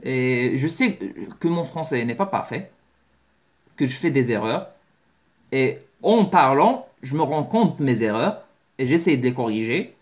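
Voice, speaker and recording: Man, lively swinging pitch, blunt and slangy, casual vlog talk. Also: a little slow. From Morocco. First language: Moroccan Arabic. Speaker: vlogger. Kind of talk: casual monologue to camera.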